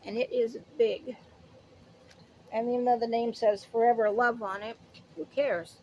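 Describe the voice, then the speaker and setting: A woman's voice: on the high side, steady tone, unhurried, a little hiss or hum, poor audio. A woman in her late fifties chatting casually through a shopping haul, rambling and interrupting herself as she goes. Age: late 50s. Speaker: haul vlogger. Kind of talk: casual product haul chat.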